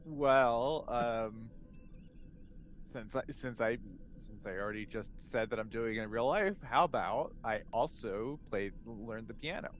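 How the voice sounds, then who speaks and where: A man's voice: mid-range voice, measured pace, slight background noise. A man chatting casually as a player in a tabletop roleplaying game, sometimes hesitant and joking.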